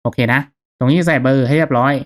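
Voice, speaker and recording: A man narrating a casual step-by-step software tutorial in an informal, instructional manner. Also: medium pitch, fairly even intonation, fast. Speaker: tutorial host.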